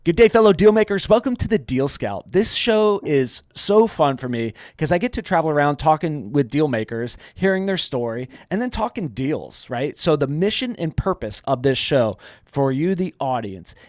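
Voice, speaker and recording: Male podcast host, energetic, playful, fairly high voice, close dry sound. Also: normal speed, no room sound. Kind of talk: upbeat podcast interview.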